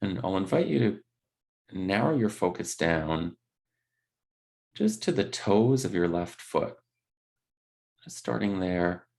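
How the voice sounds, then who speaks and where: A man leading a guided body scan meditation, speaking gently in unscripted, hesitant phrases. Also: deep voice, animated, takes it slowly, creaky, long pauses.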